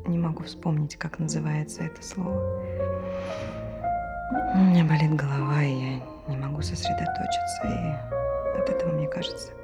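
An actress playing a confused, frightened wife with a headache who whispers and searches for words, with loud music behind. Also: female voice, sits low, steady tone, normal speed, long pauses. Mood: anxious. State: has a headache. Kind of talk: stage play performance.

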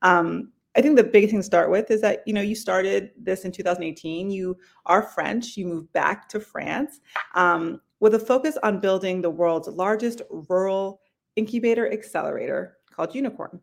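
A woman's voice: medium pitch, moderate pace, vocal fry. A woman hosts a podcast interview in a friendly way, laughing easily. Her speech is full of fillers. Her voice sits in a middle range. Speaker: podcast host. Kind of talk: interview hosting.

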